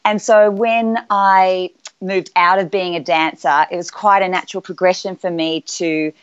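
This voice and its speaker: A woman's voice: fairly low pitch, steady tone, unhurried, smooth. An Australian woman from Queensland, a fitness expert guest, talking in a bubbly, smiling way over a call. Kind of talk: casual interview. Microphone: call line. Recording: dull lo-fi call audio.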